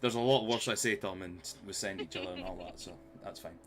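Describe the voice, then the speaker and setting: A man's voice: sits low, quick pace, crowd noise. A Scottish man running a tabletop game on a live stream, bantering and swearing before a recap. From Scotland. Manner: swears a lot, jokey banter. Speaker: game master. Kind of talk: casual chat and game recap. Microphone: live stream mic.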